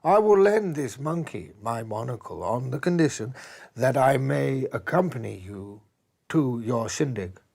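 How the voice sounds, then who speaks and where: A man's voice: mid-range voice, animated, a little slow, gravelly, darkish tone, voice pushed up. A man voicing a proud badger in a children's story, with genteel, old-fashioned manners and a pompous way of talking. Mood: courteous.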